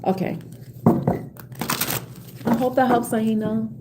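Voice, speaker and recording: A woman's voice: on the high side, flat delivery, slow pace, noisy background. A middle-aged woman from the South Bronx with a New York accent, giving a live tarot reading and chatting with viewers in a reassuring, rambling way, full of fillers and restarts. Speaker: tarot reader.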